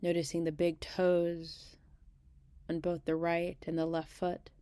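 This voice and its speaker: A woman guiding a meditation in a gentle, unhurried manner, leaving long pauses between short phrases. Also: lowish voice, monotone, clear, resonant tone, dry recording. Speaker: meditation guide. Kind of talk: guided body scan meditation.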